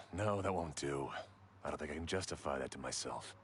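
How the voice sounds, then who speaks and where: An actor playing an exacting, skeptical but obliging blacksmith in an anime game, measured and matter-of-fact. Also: male voice, very deep voice, slight background noise. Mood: dissatisfied.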